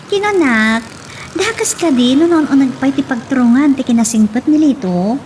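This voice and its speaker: A woman's voice: high-pitched, animated, normal speed, darkish tone, a little hiss or hum. A woman with an Ilocano accent playing a sweet, affectionate young daughter in a radio drama. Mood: hopeful.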